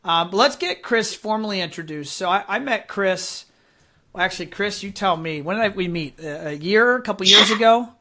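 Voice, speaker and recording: A man hosting a live-streamed interview show, chatty and upbeat with many fillers, his voice raspy and hoarse from allergies. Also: high-pitched, animated, normal speed, sharp tone. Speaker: host.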